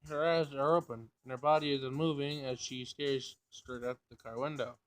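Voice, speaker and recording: A man's voice: medium pitch, steady tone, moderate pace, smooth, low-quality recording. A man casually reading a visual novel aloud, narration and dialogue, sometimes stumbling over the words.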